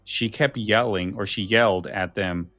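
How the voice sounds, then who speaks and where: A man co-hosting a podcast, talking casually and haltingly, repeating phrases as he thinks. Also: fairly low pitch, pushed and bright, no room sound. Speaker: podcast co-host.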